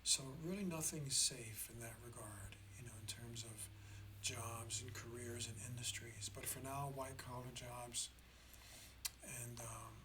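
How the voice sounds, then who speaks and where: A slightly hoarse man hosting a reflective spiritual talk, speaking in a halting, deliberate way and often checking in with the listener. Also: mid-range voice, steady tone, background noise. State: slightly hoarse after a throat infection. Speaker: host of a spiritual learning channel.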